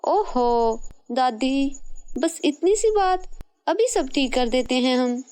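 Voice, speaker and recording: A woman's voice: sits high, expressive, slow, a little mellow, smooth. A woman voicing a sweet, helpful young girl in an acted fairy tale, speaking earnestly and politely. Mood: cheerful, obliging.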